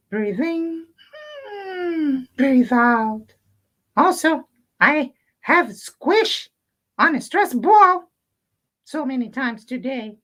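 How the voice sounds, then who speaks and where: A woman voicing an excitable, huffy little boy puppet for a children's show, childlike and dramatic, with Spanish exclamations mixed in. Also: high voice, pitched above the performer's own voice, heavily acted. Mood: calming down.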